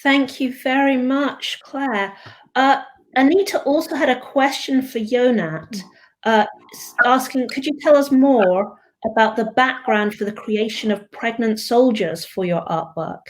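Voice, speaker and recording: A woman's voice: fairly high pitch, measured pace, low-quality recording. A woman hosting an online seminar, thanking speakers and reading out audience questions politely. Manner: polite, reads questions aloud. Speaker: seminar host. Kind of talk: moderating questions. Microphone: video call.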